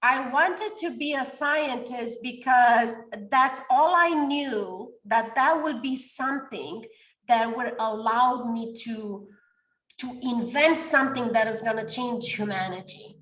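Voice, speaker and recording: A woman's voice: fairly high pitch, slow, a bit bright, reverberant, rough recording. A woman in her forties from Romania, a coach talking animatedly in a podcast interview and sometimes searching for words. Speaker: guest, coach.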